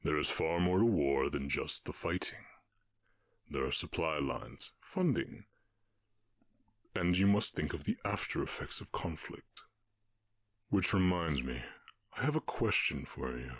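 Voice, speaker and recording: A man voicing a calm, commanding orc warchief in an ASMR roleplay, with a husky voice and a measured, condescending, teasing manner. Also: dry recording, studio quality, heavily acted. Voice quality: very deep, husky.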